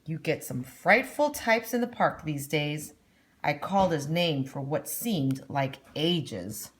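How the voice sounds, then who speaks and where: A snobbish storybook mother acted out by a woman reader. She is middle-aged, haughty and used to giving orders. Her voice sits slightly low as she tells her tale with disdain. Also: expressive, slow pace, slight background noise. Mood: haughty, disdainful. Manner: haughty, commanding. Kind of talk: storybook read-aloud.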